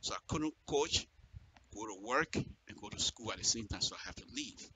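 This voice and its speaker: A man with a Liberian accent speaks as a radio commentator, addressing his subject directly and with passion. His voice is very bright and forceful, swinging widely in pitch as he repeats phrases for emphasis. Clear noise and clicks sit in the recording. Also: expressive, normal speed, poor audio.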